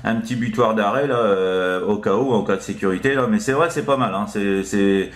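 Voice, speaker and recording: Low-voiced man, halting, in a roomy space. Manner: halting, repetitive, full of verbal tics. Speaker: amateur CB radio operator. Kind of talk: casual radio chat. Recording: roomy, echoing room sound.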